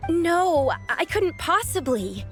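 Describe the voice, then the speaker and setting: Game casting: apologetic young lady academic, high register with animated intonation, sincere and nervous. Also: takes it slowly, exaggerated voice. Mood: flustered, apologetic. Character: earnest, apologetic, anxious young lady scholar. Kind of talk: video game voice acting. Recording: faint music behind the voice.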